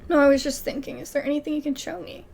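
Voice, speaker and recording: A woman's voice: high-pitched, steady tone, very fast, vocal fry, a little mellow. A woman doing casual let's-play commentary, reading game subtitles aloud with playful, apologetic asides. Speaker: gaming streamer.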